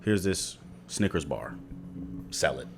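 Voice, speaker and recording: A man's voice: rumbling low, animated, slow pace, vocal fry, noisy background. A man chatting casually on a podcast, telling loose, profane stories. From Baltimore area. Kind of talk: casual chat.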